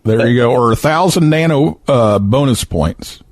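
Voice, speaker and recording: A man's voice: medium pitch, expressive, a little slow, dry recording. A man hosting a call-in radio show in a casual, folksy interview style, often repeating words as he goes.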